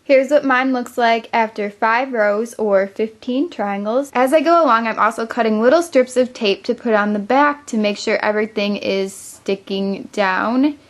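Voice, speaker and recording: A woman's voice: on the high side, normal speed. A young woman hosting a craft tutorial, explaining each step in a chatty, upbeat way while she is losing her voice. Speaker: craft channel host.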